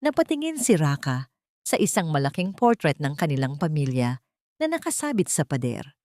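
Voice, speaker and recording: A woman's voice: deep voice, a little mellow, dry recording, clean studio sound. A woman reading a dramatic story as an audiobook narrator in a solemn, melodramatic manner. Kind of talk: dramatic scripted narration of a Tagalog love story.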